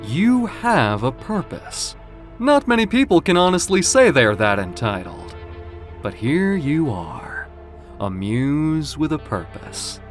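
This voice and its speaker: A man's voice: a little high, big pitch swings, slow, faint music behind, voice pushed up. A man voicing a cruel, gloating villain in an audio drama, with a theatrical, taunting, mock-tender delivery.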